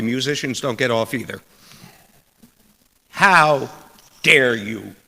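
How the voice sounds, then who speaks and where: Slow, gravelly preacher in an echoing hall. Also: male voice, a little high, sharp tone. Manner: deliberate, emphatic, rhetorical phrasing with dramatic pauses. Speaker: preacher reading a sermon. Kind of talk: scripted church sermon. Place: large indoor church space. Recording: echoey hall with long reverb.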